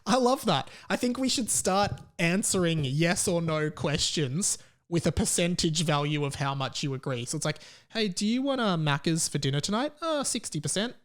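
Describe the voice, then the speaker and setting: An Australian man with a slightly high-pitched voice hosts a podcast on his own. He talks in a chatty, rambling way, with an irreverent comedic edge. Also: animated, moderate pace. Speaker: solo podcast host. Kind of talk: casual comedic rant.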